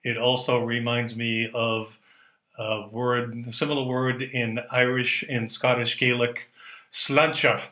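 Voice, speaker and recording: A man's voice: medium pitch, level tone, takes it slowly, sharp tone. A man from the United States leaving a casual video message about a favourite word. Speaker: learner sharing a favourite word. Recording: echoey room.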